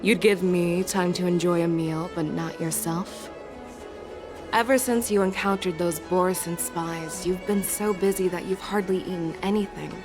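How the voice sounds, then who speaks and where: Casting: woman as a commanding game warrior general, lower register, wry, self-assured, music behind. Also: steady tone, moderate pace, natural voice. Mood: gently chiding. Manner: composed, assured, teasing. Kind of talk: acted game story dialogue.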